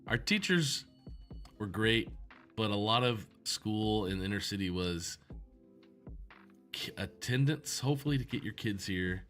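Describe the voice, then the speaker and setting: A man's voice: on the low side, animated, measured pace, pauses often, a bit bright, soft background music. A man from Oklahoma hosting a casual interview, chatty and rambling as he talks. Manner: chatty, rambling, laughs easily. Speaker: podcast host.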